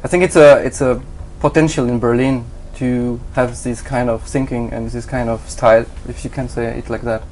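Hesitant man with a faint rumble. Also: fairly even intonation, moderate pace, few pauses, a little mellow. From Switzerland. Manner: hesitant, many fillers and restarts, non-native phrasing. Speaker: graphic designer, interview guest. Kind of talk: halting conversational interview answers.

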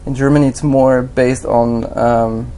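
A man's voice: mid-range voice, flat delivery, a little slow, dark tone. A man in an interview, answering hesitantly with many fillers and restarts. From Switzerland. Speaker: graphic designer, interview guest. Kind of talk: halting conversational interview answers.

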